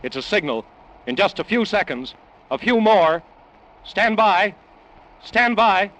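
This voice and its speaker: High-voiced American radio reporter. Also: male voice, lively intonation. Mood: hushed tension. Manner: dramatic, hushed pauses, repeats words for effect. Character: male radio reporter at a launch, solemn, awed. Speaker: field news correspondent. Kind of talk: 1940s-50s radio drama live news commentary. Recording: dull, noisy vintage radio recording.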